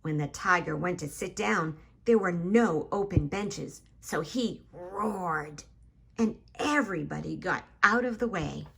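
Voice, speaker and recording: A woman's voice: on the low side, measured pace, vocal fry, a bit bright, husky. A woman hosting a children's story time, reading a picture book expressively, addressing young listeners directly and stressing key words for drama.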